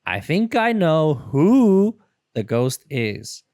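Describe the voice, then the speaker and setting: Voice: mid-range voice, sing-song, extremely slow, a little mellow, no echo, clean studio sound. A storybook reader voicing an earnest, eager and curious young boy turtle with exclamatory delivery. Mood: excited. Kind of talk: children's storybook character voice.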